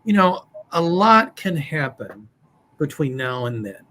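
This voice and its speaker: Guy, a bit raspy, chatty and jokey, faint hum behind. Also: fairly high pitch, big pitch swings, measured pace, pushed and bright. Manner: chatty, joking, storytelling. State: slightly raspy after surgery. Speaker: psychic, show guest-regular. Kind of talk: casual chat on a live stream.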